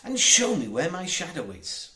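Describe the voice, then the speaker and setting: Guy doing a cocky storybook boy, boastful n lively, emphatic, roomy sound. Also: on the high side, moderate pace, voice pushed up, heavily acted. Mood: bossy. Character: boastful, lively young boy who never grows up. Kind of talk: storybook character voice.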